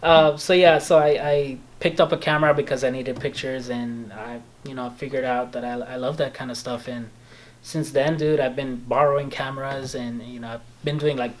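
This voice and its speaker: A man's voice: medium pitch, a little hiss or hum. Late-20s man, Spanish first language, light Belizean Creole accent, easygoing rambling talk, small office, camera mic. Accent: Belizean Creole-tinged English. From El Salvador. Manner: relaxed, halting with many fillers. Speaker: interviewee. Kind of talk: casual storytelling chat. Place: small office room, indoors. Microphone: camera mic at a few metres.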